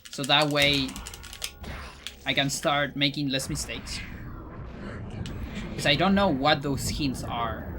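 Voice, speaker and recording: A man's voice: on the high side, takes it slowly, long pauses, noisy background. A man from Chile, a native Spanish speaker with a Chilean Spanish accent, chatting casually with viewers while gaming, musing aloud with frequent restarts. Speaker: streamer.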